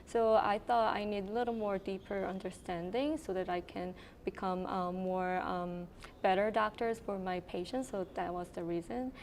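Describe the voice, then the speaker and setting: Brief soft-spoken Korean woman physician, hesitant. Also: medium pitch, normal speed, a little hiss or hum. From South Korea. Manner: thoughtful, with frequent ums and you-knows. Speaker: internal medicine resident. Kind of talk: interview answers.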